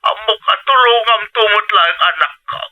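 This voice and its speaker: A man's voice: high voice, moderate pace, lo-fi sound, natural voice. A man with a Filipino accent playing an older father in a radio drama, coaxing and persuasive as he asks for things. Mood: coaxing, pleading. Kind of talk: Ilocano radio drama acting.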